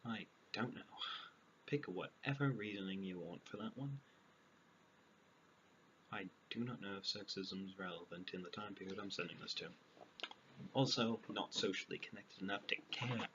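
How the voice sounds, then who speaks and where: A man reading a fairy tale aloud, breaking in with dry, sarcastic deadpan asides. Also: low-pitched, moderate pace, long pauses. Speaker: storyteller. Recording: dull lo-fi audio, clear background noise.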